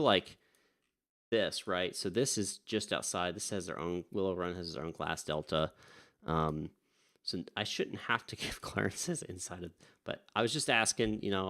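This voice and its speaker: A man's voice: deep voice, fairly bright tone. A man working as a virtual air traffic controller on a live stream. He chats casually and drifts off mid-thought, then switches to polite radio calls to pilots. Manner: chatty, easily distracted, wanders off mid-thought.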